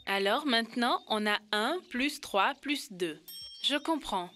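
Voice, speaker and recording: An eager young schoolgirl in an educational cartoon, with a high voice. She explains carefully, step by step, over faint background music. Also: animated, takes it slowly, pushed and bright, full character voice. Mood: eager, explaining. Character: Kibena, eager young girl learning to count. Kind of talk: French-dubbed educational children's cartoon.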